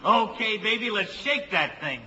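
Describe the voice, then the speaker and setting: A man's voice: high voice, animated, a little slow, very noisy. A man playing a brash, slangy, cheerfully oblivious teenage boy with a breezy manner in a 1950s radio comedy. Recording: dull old radio recording with music.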